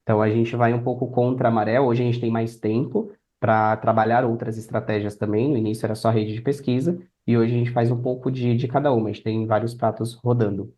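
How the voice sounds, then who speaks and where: A man's voice: medium pitch, fairly even intonation, a little fast, few pauses, warm tone. A young Brazilian man speaking as a podcast guest, explaining and telling anecdotes in a conversational way. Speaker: podcast guest, affiliate marketer. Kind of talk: conversational interview answers.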